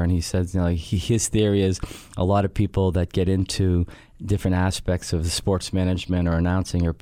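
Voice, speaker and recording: A man's voice: sits low, mellow, no echo. Middle-aged man hosting a radio chat. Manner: rambling, many fillers and restarts. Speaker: radio show host and company head. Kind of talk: casual radio chat and interview. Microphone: studio microphone.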